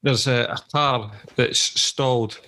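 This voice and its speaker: A man co-hosts a podcast and tells a story in loose, casual chat. He swears freely and fills pauses with hesitant "uh"s. His mid-pitched voice has a gravelly fry running through it. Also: steady tone, bright, forceful tone. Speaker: podcast co-host.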